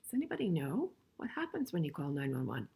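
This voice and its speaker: An older woman reading a picture book aloud to children in an expressive way, pausing to chat and ask them questions. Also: deep voice, vocal fry, husky. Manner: expressive, asking listeners questions as she goes. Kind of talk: children's storybook read-aloud with conversational asides and questions.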